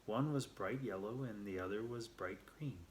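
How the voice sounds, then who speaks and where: A man telling a children's story aloud, reading a little haltingly and repeating words as he goes. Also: fairly low pitch, a little hiss or hum, rough recording.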